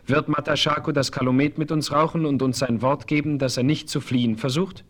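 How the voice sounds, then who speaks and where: An actor playing a dignified Apache chief in a radio play, speaking formally and referring to himself in the third person. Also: male voice, on the high side, steady tone, a little fast, close to an everyday voice. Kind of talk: 1970s radio play acting.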